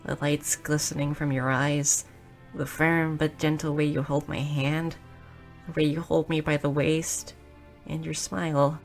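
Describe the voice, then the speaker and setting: A woman's voice: sits low, flat delivery, unhurried. A woman playing a shy, clumsy, sweet young goblin girl who stammers earnestly in an intimate romantic audio roleplay. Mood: tender, at ease. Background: music.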